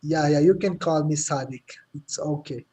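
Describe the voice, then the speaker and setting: A man's voice: fairly high pitch, normal speed, mellow, husky. A man from Pakistan with a Pakistani accent, a Pashto speaker, talking hesitantly with many fillers on a video call. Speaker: chat participant. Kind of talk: casual video call chat.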